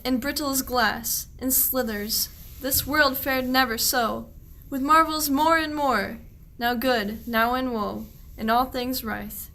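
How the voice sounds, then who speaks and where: A woman's voice: high-pitched, pushed and bright, exaggerated voice. A woman playing a young, put-upon shepherd servant boy in a radio play, declaiming verse earnestly.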